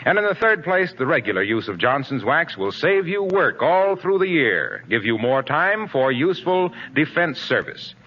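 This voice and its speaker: Husky American man, vintage radio announcer. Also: a little high. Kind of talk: 1940s radio advertisement read, polished persuasion. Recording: muffled 1940s radio broadcast.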